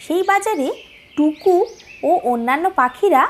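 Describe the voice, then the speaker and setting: A woman's voice: high voice, expressive, unhurried, a little hiss or hum. A woman narrating a scripted children's story as its storyteller.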